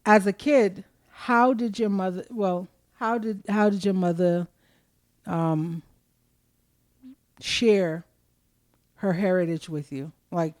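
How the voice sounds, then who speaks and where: A woman's voice: medium pitch, fairly even intonation, slow, pauses often, darkish tone, dry recording. A woman hosts a podcast in a frank, conversational way. Her voice sits in a middle range and is recorded close and dry, with no room around it. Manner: frank, conversational, asks probing questions. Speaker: podcast host. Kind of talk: casual podcast chat.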